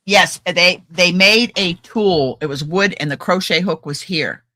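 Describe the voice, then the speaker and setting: A woman co-hosting a casual crafting livestream, chatty and animated, often trailing off and restarting. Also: fairly low pitch, a little slow, dry recording, clean studio sound. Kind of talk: casual chat. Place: home livestream.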